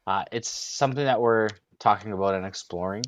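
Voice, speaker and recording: A man in his thirties from Canada, a guest on a casual podcast, speaking haltingly with many restarts. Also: on the low side, slight background noise. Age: 30s. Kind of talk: casual live-stream chat.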